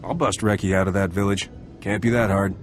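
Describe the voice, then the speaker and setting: An actor playing a brash, gruff, roguish starship captain in a video game. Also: male voice, lowish voice, fairly even intonation, a little fast, a little hiss or hum, close to an everyday voice. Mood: cocky. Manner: brash, cocky.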